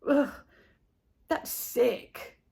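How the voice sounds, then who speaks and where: A woman voicing a squeamish, dismissive schoolboy in a storybook with drawn-out, breathy disgust. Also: mellow.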